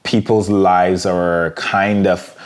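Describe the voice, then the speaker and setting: A man with a very low voice, who grew up in the Caribbean, answers interview questions in a reflective, unhurried way. He circles his points, leaning on fillers and soft hedges as he thinks aloud. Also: flat delivery. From Jamaica and the Cayman Islands. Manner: thoughtful, many fillers and hedges. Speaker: guest, fashion designer. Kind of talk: casual interview conversation.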